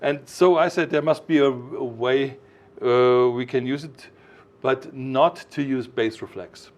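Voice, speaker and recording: A man with a mid-pitched voice talks through technical details like a designer presenting his own work. His delivery is informal but didactic, with halting phrases and firm emphasis on key points. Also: a little mellow. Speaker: loudspeaker designer explaining his products.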